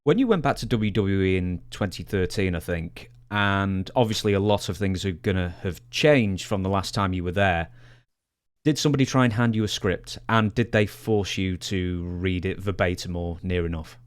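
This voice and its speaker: A man's voice: low-pitched, moderate pace, smooth. A man co-hosting a podcast, putting wordy, frank questions in a conversational way. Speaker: podcast co-host and interviewer. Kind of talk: conversational interviewing.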